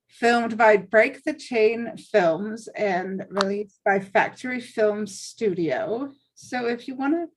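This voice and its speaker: Woman, mid-range pitch, earnest, assertive, casual self-promotion. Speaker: actress and aspiring voice actor introducing herself.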